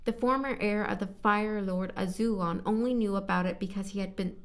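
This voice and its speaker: Halting woman narrator rehearsing lines. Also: medium pitch, fairly even intonation. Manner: halting, stumbles over words. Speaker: amateur voice actor reading narration. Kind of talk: scripted narration rehearsal with retakes.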